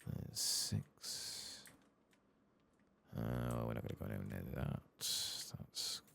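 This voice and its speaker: A soft-spoken British man doing relaxed ASMR game commentary, hushed and at times whispered. His voice is extremely low and full of heavy fry, muttered casually to himself over faint background noise. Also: takes it slowly.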